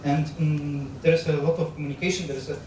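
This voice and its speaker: A Polish-accented man in his thirties gives a public lecture on stage, pausing often and restarting his phrases. His voice sits fairly high and rings through a very echoey hall. The sound is picked up from a distance over a clear background hum. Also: little pitch movement, dark tone. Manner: halting, with frequent fillers, restarts and gestures. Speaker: guest lecturer on astronaut training. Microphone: handheld microphone. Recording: very echoey hall, captured from far off.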